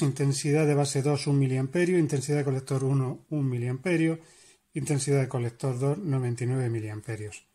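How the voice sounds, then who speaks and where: A man's voice: on the high side, a little mellow. A man teaching an electronics lesson. He explains each step as he works and keeps checking in with his listeners. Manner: explanatory, checks in with frequent "vale?". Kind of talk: hands-on lesson demonstrating and calculating.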